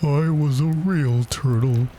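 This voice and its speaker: A man voicing a mournful, self-pitying, touchy old turtle from a storybook, with drawn-out, sighing delivery. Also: mid-range voice, lively intonation, mellow, slight background noise, voice pushed up. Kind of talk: storybook character voice.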